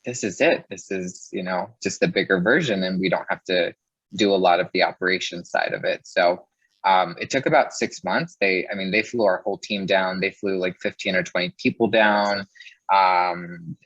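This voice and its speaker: Deep voiced guy telling work stories, chatty, says like a lot, dry close sound but kinda muffled. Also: normal speed, a bit bright, slightly rough, dry recording. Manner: chatty, peppers speech with "like". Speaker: interview guest, mortgage loan originator. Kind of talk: casual conversational storytelling.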